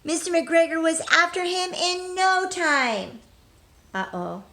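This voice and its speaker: A woman's voice: very high-pitched, big pitch swings, slow pace, sharp tone. A woman teacher reading a storybook aloud to children, speaking to them directly and expressively, with emphasis on key words. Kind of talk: children's storybook read-aloud with questions to young listeners.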